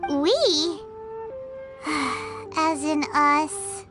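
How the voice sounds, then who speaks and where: A woman voicing a tiny, childlike fairy companion in a video game, squeaky, blunt and easily indignant. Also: drawn-out words. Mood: wry. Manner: exclamatory, talks in third person. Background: game music.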